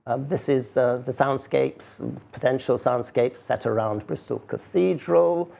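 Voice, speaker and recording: A man speaks informally to present his work. His mid-pitched voice is creaky, and his delivery is hesitant and conversational, with wry asides. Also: unhurried, mellow. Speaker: speaker, writer of sound art. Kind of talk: informal live talk.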